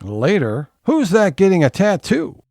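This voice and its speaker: An actor playing a suspenseful, teasing narrator of an audio comedy serial, with measured theatrical delivery. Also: male voice, high voice, big pitch swings, darkish tone, soft background music, full character voice.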